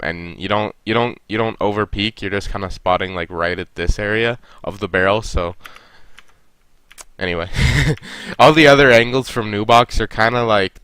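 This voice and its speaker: A man's voice: lowish voice, pushed and bright, clean tone, no echo. A young man with a stuffy nose, casually reviewing a team's game and coaching the players directly. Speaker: esports coach reviewing a match.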